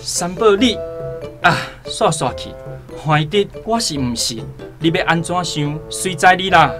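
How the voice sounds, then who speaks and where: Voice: medium pitch, lively intonation, measured pace, music in the background, natural voice. A performer with a Taiwanese accent playing a patient young male student who consoles a friend in a radio drama. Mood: resigned.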